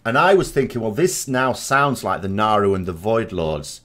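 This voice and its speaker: A man's voice: lowish voice, very animated, normal speed, a bit bright. A man hosting an informal gaming lore commentary, talking in a discursive way with asides and stressing key words. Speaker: gaming video host.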